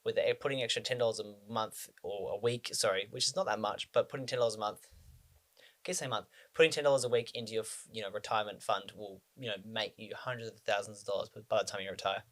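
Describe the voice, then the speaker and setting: A man's voice: lowish voice, steady tone, quick pace, a bit bright. Rambling young man with frequent ums. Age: 20s. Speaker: personal finance vlogger. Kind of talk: casual talk to camera.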